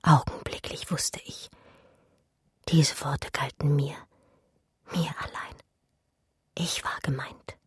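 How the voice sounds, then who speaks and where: An actress playing a romantic, daydreaming art historian, narrating her memories in measured, intimate, literary radio drama style. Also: sits low, fairly even intonation, husky, no echo, in a whisper, clean studio sound, heavily acted. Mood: entranced, intimate.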